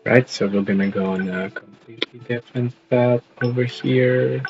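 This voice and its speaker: A man's voice: mid-range voice, fairly even intonation, normal speed, muffled recording, over music. A man streaming a game live, talking in an informal, offhand way as he comments and reads along. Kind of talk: casual live gameplay commentary.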